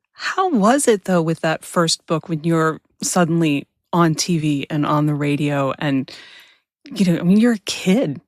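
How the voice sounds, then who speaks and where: A woman's voice: sits low, expressive, measured pace, no room sound, studio quality. A woman hosting a casual conversational interview, informal and responsive to what she hears. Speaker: podcast host, interviewer.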